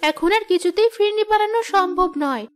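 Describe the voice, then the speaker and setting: A humble, anxious young village woman in a cartoon fairy tale. Her voice is very high and almost childlike, earnest and pleading, with music underneath. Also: fairly quick. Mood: polite, humble.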